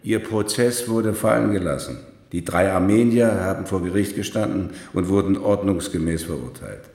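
A high-ranking Soviet security officer with a very low voice in an echoing room. He is stern and impatient, giving curt, authoritative replies in a radio drama. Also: moderate pace, darkish tone. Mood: impatient, weary. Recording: echoey room.

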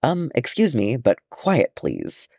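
A man's voice: medium pitch, wildly swooping pitch, slow pace, creaky, mellow, slightly rough, no echo, exaggerated voice. A man acts out a snappish young male dwarf scholar in a storybook reading. He is scornful and impatient, with swooping intonation and a dry, close sound. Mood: hissing irritation.

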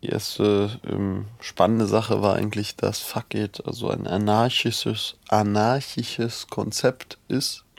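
Gravelly-voiced young man's audio diary. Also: on the low side, little pitch movement, takes it slowly, few pauses, dry recording. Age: 20s. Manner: casual, hesitant, many fillers and restarts. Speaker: student and journalist trying a self-help book. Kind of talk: self-recorded audio diary.

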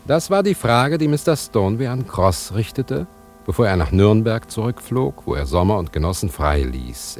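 Suspenseful storyteller, low voice, expressive intonation, radio play, guitar music behind. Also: male voice. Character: male storyteller, measured and suspenseful. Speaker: narrator. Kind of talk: scripted radio play narration.

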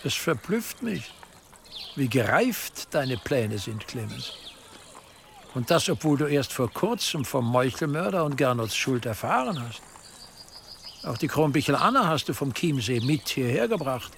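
An actor playing a cautious, authoritative prince-bishop, speaking in a measured, formal way in a German historical radio drama. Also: male voice, mid-range voice, sing-song, vocal fry, a bit bright, slight background noise, full character voice. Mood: suspicious, surprised.

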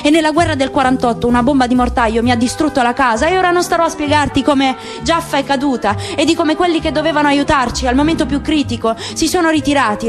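An earnest, gentle middle-aged father who has lost much, as a woman reads him aloud. He sounds soft, measured and high-pitched, with music playing clearly behind the voice. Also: natural voice. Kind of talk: radio drama reading.

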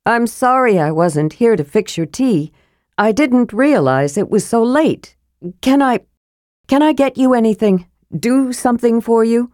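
A woman's voice: medium pitch, big pitch swings, slow, darkish tone, no echo, studio quality. A woman voicing a big, genial, protective man in a fantasy storybook, earnest and anxious to please. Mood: remorseful, eager. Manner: cheerful, earnest, apologetic.

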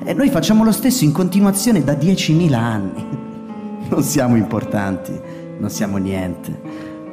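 An actor playing a weary, wise older male wanderer, who speaks in a slow, reflective and wry way. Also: mid-range voice, animated, close to an everyday voice. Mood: musing. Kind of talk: radio drama acting. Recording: reverberant studio with music.

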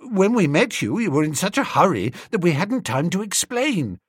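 A man's voice: sits high, big pitch swings, normal speed, husky, dry recording, studio quality, full character voice. A man voicing a mild, silly young lord with an upper-class English accent, apologetic and chatty, in a comic audiobook. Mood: chatty, eager.

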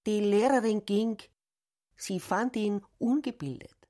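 A woman performing in a radio play, narrating and acting with a deliberate, drawn-out delivery. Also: mid-range voice, mellow, close to an everyday voice.

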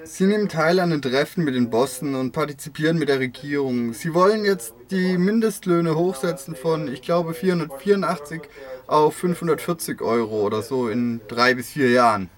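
A man's voice: fairly high pitch, moderate pace. A man reading dialogue and interview voice-over for a radio feature. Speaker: radio presenter and voice-over reader.

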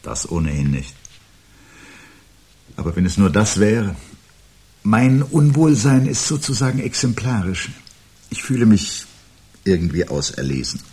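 Radio play: a haunted, fatalistic man, measured and solemn, very deep, slightly rough-edged voice. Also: sing-song, a little mellow, full character voice. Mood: uneasy, hesitant. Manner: measured, portentous, cryptic, given to pathos. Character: brooding man haunted by dread of humanity's end. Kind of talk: 1970s German radio play acting.

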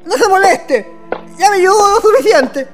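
A naive polite young chilean guy, really high voice, flustered, radio drama with music behind. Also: lively intonation, normal speed. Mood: polite, timid. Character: polite, flustered young man named Pancho, naive and hapless. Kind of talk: radio play acting.

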